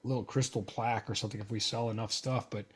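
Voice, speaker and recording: A man with a raspy, hoarse voice talking casually and candidly as a guest on a live show. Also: lowish voice, fairly even intonation, fast talker. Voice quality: raspy, losing his voice. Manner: candid, laid-back, chatty. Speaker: guest, audio equipment dealer.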